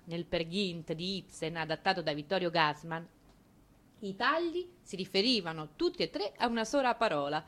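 A woman narrating a theatrical monologue as a stage performer, with an ironic, expressive manner. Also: on the low side.

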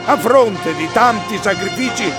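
A man's voice: high voice, expressive, a little slow. A man playing a solemn, ceremonious herald who declaims formal narration in a radio theatre piece. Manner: pompous, ceremonious. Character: male herald grandly addressing the Romans, formal and solemn. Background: music.

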